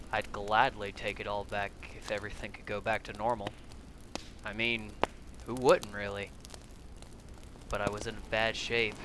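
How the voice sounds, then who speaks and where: A man plays a regretful young survivor in a comic dub. His voice is lowish and very flat, subdued and confessional, with clear noise around it. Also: close to an everyday voice. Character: regretful young former pizza delivery boy, a survivor. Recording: noisy ambient background.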